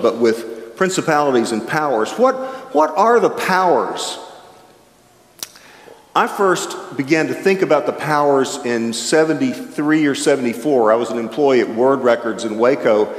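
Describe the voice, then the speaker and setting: An older man giving a reflective chapel sermon at a lectern, gesturing widely as he talks. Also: mid-range voice. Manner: reflective, animated with wide gestures, shifting pace. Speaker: chapel speaker. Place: large chapel with an audience. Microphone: stand microphone at a lectern. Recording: echoey chapel heard from far off.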